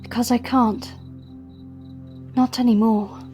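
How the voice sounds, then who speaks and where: A woman playing a gentle, wistful female creature in an audio drama, speaking in a hushed, measured way. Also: a little high, little pitch movement, faint music behind.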